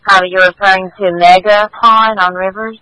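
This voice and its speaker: A man working as a police dispatcher, speaking in brisk, formulaic radio procedure with a businesslike manner. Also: high-pitched, little pitch movement, pushed and bright, clear, resonant tone, no echo, poor audio.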